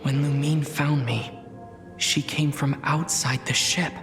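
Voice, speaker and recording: An actor voicing a thoughtful young male traveler in a video game cutscene, speaking in a quiet, measured, reflective way. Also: fairly high pitch, fairly even intonation, music in the background.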